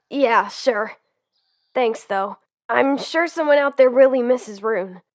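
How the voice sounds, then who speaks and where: A woman's voice: fairly high pitch, slow. A young woman voicing a nervous, upbeat lead in a comic dub, with lots of fillers.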